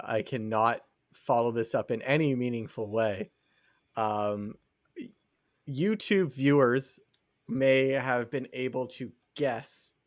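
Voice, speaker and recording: Sex: man. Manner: slow, mock-dramatic podcast co-host. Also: medium pitch, no room sound, clean studio sound. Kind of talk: casual chat.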